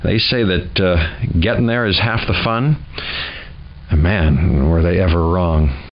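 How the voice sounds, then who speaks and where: A man's voice: deep voice, unhurried, dull audio. A man playing a weary, resolute expedition leader in a video game, wry and matter-of-fact. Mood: wry, grim. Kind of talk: video game cutscene and mission briefing. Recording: game audio with music behind. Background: background music.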